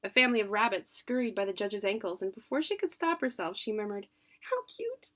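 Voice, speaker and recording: Storybook narrator: adult female, medium pitch, expressive read-aloud delivery for children. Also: fast talker. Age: adult, parent. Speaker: children's book reader.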